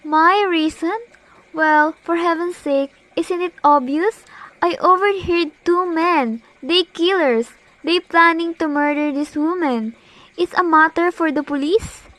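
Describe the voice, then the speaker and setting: Young woman acting high-pitched, imperious, agitated lady. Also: a little slow, a bit bright, no echo, poor audio. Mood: indignant. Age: teenager to young adult. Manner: demanding, agitated, impatient, haughty. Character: imperious, nervous, indignant married woman reporting an overheard murder plot. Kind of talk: amateur student radio play acting.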